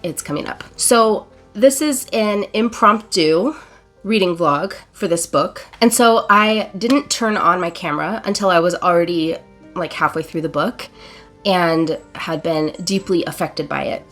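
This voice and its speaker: A woman's voice: fairly low pitch, unhurried, fairly bright tone, faint music behind. A woman in her forties, still congested from an illness, talking earnestly and emotionally about a book in a casual reading vlog, with fillers and self-corrections. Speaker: book vlogger.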